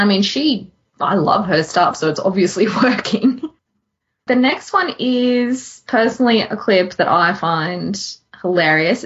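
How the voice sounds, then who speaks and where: A woman's voice: medium pitch, lo-fi sound. A woman co-hosting a podcast in casual chat, giggly as she talks. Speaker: podcast co-host.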